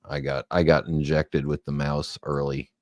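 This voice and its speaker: A man's voice: very deep voice, little pitch movement, moderate pace, darkish tone. A man from Southern California co-hosting a casual chat, telling rambling stories and teasing his co-host.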